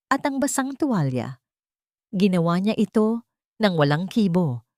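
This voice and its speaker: A woman's voice: mid-range voice, no echo, studio quality. A woman with a Filipino accent reading a scripted dramatic story as an audiobook narrator.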